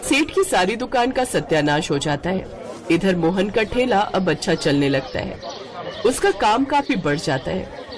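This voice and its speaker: A woman storyteller narrates a children's tale. Her medium-pitched voice moves in a lively, animated way through the scripted lines. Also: unhurried, crowd noise.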